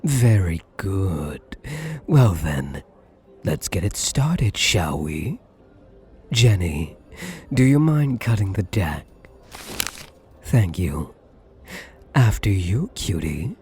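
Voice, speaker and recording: A smug, possessive rich gambler, acted by a man, speaks in a breathy, husky voice for an intimate ASMR roleplay. He takes his time over every phrase, swooping widely in pitch as he teases. The tone is dark and suave, full of theatrical endearments. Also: sing-song, drawn-out words, long pauses, exaggerated voice. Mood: suave, playful. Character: possessive, smug, wealthy male gambler doting on captive lover.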